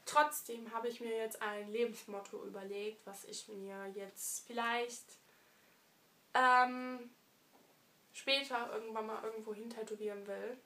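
A young woman vlogging casually from her living room with a stuffy nose from a cold, chatty and affectionate, pausing often with fillers. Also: a little high, steady tone, sharp tone. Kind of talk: casual year-review vlog.

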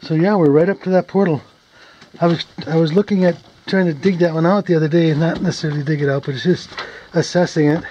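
A man's voice: on the high side, fairly even intonation, fast, dark tone, dull audio, a little hiss or hum. A man exploring an abandoned mine, casually thinking aloud about what he finds. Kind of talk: casual narration while exploring. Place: inside an abandoned underground mine.

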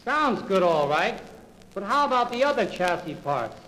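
A man's voice: high voice, very animated, moderate pace, pushed and bright, rough recording. A man playing a doubtful truck buyer in an old sales film, questioning the claims.

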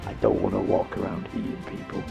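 A man playing a grim, vengeful captive in a zombie apocalypse game roleplay, with a gravelly voice. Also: deep voice, completely flat delivery, fairly quick, over music. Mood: grim, resigned.

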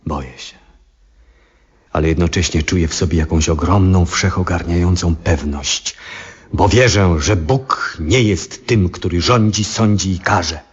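An actor playing an aging, troubled, devout astronomer in a 1970s radio drama, speaking in a solemn, reflective and husky way. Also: male voice, low voice, heavily acted. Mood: awed conviction. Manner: solemn, reflective, theatrical, deliberate phrasing. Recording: dull vintage radio recording.

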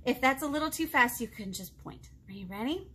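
An American woman with a high voice reads and chats as a children's librarian. She speaks expressively and keeps her phrasing simple, as if to a group of young children. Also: expressive, a little fast, bright, forceful tone, a little hiss or hum. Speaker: children's librarian hosting storytime. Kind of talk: children's storytime read-aloud and chat. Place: small indoor room, seated before a book display.

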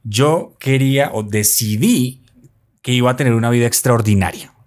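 A man in his forties from Colombia with a Bogotá accent, a podcast guest telling his story in animated storytelling chat with playful asides, recorded on a desk mic in a small studio. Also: medium pitch, moderate pace. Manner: animated, gestures while talking, playful asides. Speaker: guest, motorcycle traveler. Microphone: desk mic close by.

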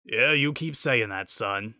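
A man acts out an elderly male hedgehog mechanic from an audio story. He is gruff and paternal, giving orders and scoldings in a mid-pitched, clean, dry recording. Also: slow pace, sharp tone, dry recording.

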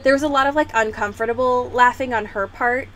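A woman's voice: fairly high pitch, steady tone, fairly quick, a bit bright, slight background noise. A young woman hosting a podcast in a casual, sweary way, peppering her talk with "like". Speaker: podcast host.

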